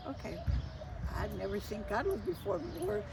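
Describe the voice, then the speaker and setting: A woman's voice: mid-range voice, moderate pace. Older woman storyteller, distant noisy recording. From Texas, United States. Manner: animated, acts out remembered dialogue, chuckles at her own stories. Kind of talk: casual personal storytelling. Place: outdoors. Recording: distant mic, clearly noisy outdoor ambience.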